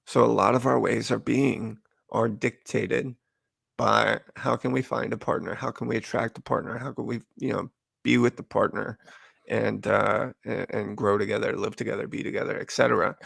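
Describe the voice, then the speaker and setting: Man, heavy vocal fry, raspy, lowish voice, agreeable, casual podcast chat. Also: flat delivery, normal speed, fairly bright tone, no room sound, studio quality. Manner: agreeable, lots of "yeah" and "for sure" backchannels. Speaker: podcast co-host. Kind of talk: casual earnest discussion.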